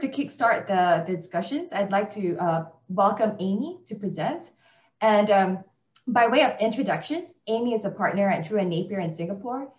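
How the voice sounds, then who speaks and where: A woman moderating an online panel, upbeat and quick to laugh as she introduces the speakers. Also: mid-range voice. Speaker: webinar moderator. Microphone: video call. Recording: echoey room, dull video-call audio.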